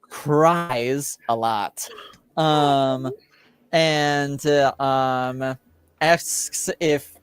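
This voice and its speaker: A man acting as game master, casually recapping a tabletop roleplaying story in a halting, drawn-out way. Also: medium pitch, steady tone, clean tone, dry recording, clean studio sound.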